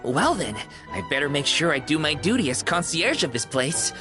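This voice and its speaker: A bubbly, courteous toy concierge, swooping intonation. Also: female voice, deep voice, sing-song, moderate pace, music in the background. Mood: delighted, attentive. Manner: courteous, theatrical, effusive. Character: polite, bubbly living stuffed toy concierge. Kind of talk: scripted video game character acting.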